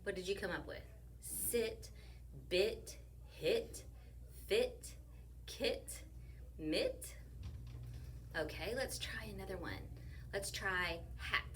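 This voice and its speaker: A woman teacher walks young children through a picture book, speaking slowly and playfully. Her pitch swoops up and down as she sounds out rhyming words. She sits a little back from the mic, and a faint hum hangs in the room. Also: recorded from a distance. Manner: slow, playful and expressive, sounding out rhymes. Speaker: teacher reading to her class. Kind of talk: read-aloud story discussion for young children.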